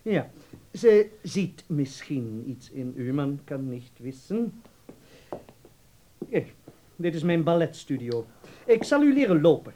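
An actor in a Dutch radio drama playing a blunt, self-assured ballet teacher giving clipped, emphatic instructions. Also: male voice, fairly high pitch, very animated, slow, pauses often, mellow, a little hiss or hum. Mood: blunt, instructing.

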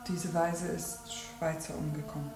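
An actress playing a committed revolutionary bomb maker, serious and deliberate, in a German radio drama. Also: female voice, low-pitched, flat delivery, echoey room, loud music behind the voice. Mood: serious, subdued.

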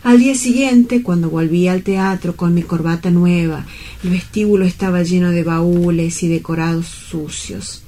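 A woman's voice: lowish voice, fairly even intonation, moderate pace, mellow, a little hiss or hum, close to an everyday voice. A woman playing a dreamy, lovelorn young male bank clerk in a radio drama, with wistful, poetic, earnest delivery. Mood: sorrowful confession. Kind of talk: dramatic radio-play monologue.

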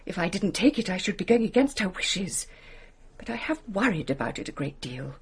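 An actress playing a fussy, genteel English lady companion in a radio drama, hesitant, apologetic and gossipy, with a breathy English voice. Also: on the low side, big pitch swings, brisk, full character voice. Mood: uneasy, defensive. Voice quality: breathy, husky. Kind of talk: British radio drama acting.

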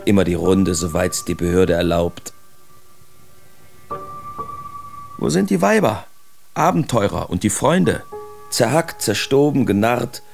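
Low, gravelly, embittered artist. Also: male voice, darkish tone. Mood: agitated disgust. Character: embittered male artist writing letters from a wartime asylum. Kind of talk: dramatic reading of letters in radio play.

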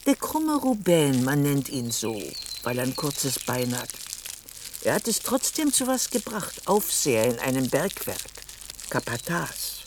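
Sly old village aunt, lively. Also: female voice, a bass voice, unhurried, a little hiss or hum, exaggerated voice. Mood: knowing, sly. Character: sly, odd old village aunt, shrewd herb gatherer. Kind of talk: German radio play acting.

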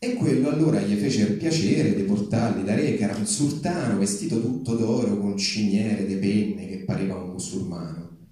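A man's voice: on the low side, normal speed, mellow. A man speaking and reading aloud to the public at a live event. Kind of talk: public reading and impassioned activist speech. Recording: echoey hall, recorded from far off.

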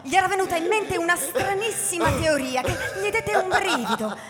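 A woman narrating a radio story in an intense, theatrical way, moving from hushed to loud. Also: very high voice, normal speed. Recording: reverberant hall, faint music behind.

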